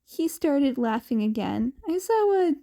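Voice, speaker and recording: A woman's voice: high-pitched, big pitch swings, unhurried, warm tone. A woman narrating scripted fan fiction as a podfic reader.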